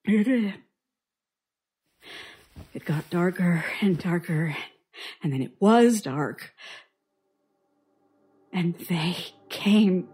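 An actress playing a frightened woman haltingly recounting a childhood horror in an audio horror drama, sounding haunted. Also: fairly low pitch, lively intonation, darkish tone, breathy edge, no echo, clean studio sound, exaggerated voice. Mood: uneasy, haunted. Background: music.

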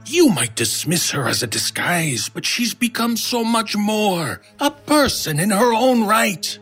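A desperate, protective old man for an old-time style horror radio drama. His voice is husky and rough, and he speaks slowly and earnestly, pleading. Faint music sits behind him. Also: high voice, big pitch swings, a bit bright, exaggerated voice. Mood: earnest, intent. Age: older.

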